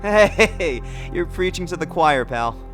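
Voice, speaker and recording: A man's voice: a little high, expressive, takes it slowly, a bit bright, faint music behind. A man playing a vain, sarcastic tech specialist with a flippant manner in a radio play. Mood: cocky.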